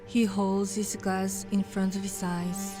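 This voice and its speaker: A woman delivering rhymed verse in a poetic, measured style. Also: mid-range voice, level tone. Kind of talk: slow poetic recitation in verse over music. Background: music.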